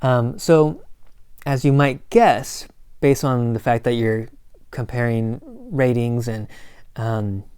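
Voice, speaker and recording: Slightly hoarse guy teaching a college class on video, smooth voice, dry sound, lots of "right?". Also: mid-range voice, animated, slow pace, darkish tone, no echo. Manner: casual, corny asides, frequent "right?" and "um". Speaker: college instructor. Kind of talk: recorded lecture.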